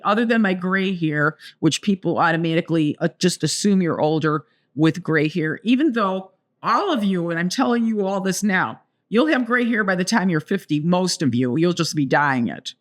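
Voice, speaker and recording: A woman in her seventies co-hosting a podcast, chatting casually and telling stories with playful asides. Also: fairly low pitch. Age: 70s. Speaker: podcast co-host. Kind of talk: casual chat.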